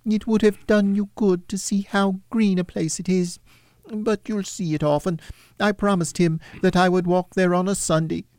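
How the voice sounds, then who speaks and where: A man voicing a poor, gentle father who speaks tenderly and breaks with grief. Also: high-pitched, fairly even intonation, normal speed, dry recording, voice pushed up, close to an everyday voice. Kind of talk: audiobook character voice.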